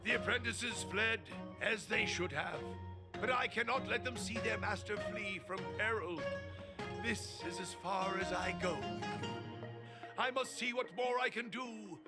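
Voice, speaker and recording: A man's voice: high voice, a little slow. A man voicing an elderly, dutiful guild master who speaks formally and with resignation. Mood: resolute. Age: older. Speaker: game character. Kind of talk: video game voice acting. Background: game music.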